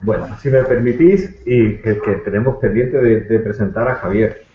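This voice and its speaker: A man's voice: on the low side, moderate pace. A man hosting an online panel, introducing guests in a hesitant way and often restarting his phrases. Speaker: host, moderator. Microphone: video call. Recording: tinny echoey phone-like line.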